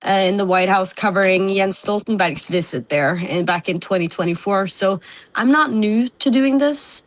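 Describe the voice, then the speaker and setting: A woman's voice: mid-range voice, moderate pace, no echo. A woman journalist, giving a candid interview over a video call and laughing between points. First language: Norwegian.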